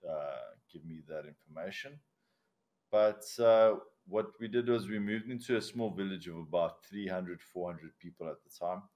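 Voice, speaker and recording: A South African man, raised speaking Afrikaans, chatting as a podcast guest. His voice sits low, and he tells long, rambling stories with plenty of swearing and laughs. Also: a little mellow. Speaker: podcast guest, commercial diver. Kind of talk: casual chat.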